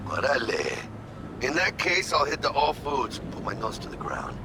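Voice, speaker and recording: A brash, jovial street fighter. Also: male voice, medium pitch, normal speed, heavy background noise. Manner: lively, animated, with Spanish slang. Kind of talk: video game voice acting.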